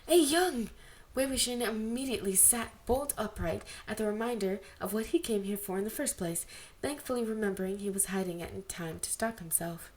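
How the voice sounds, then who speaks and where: A woman reads a story aloud as scripted narration. Her voice sits at a middling pitch. She is an amateur reader who now and then stumbles on the text. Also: a little fast, a little mellow. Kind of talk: scripted narration of fan fiction.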